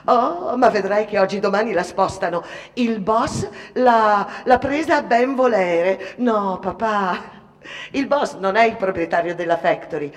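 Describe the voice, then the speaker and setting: An actress playing a bold, brash young Italian immigrant factory girl in an animated radio drama monologue. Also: medium pitch, measured pace, in a large hall, close to an everyday voice. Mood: brash, confident. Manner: animated, boastful, mimicking others. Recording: music behind the voice.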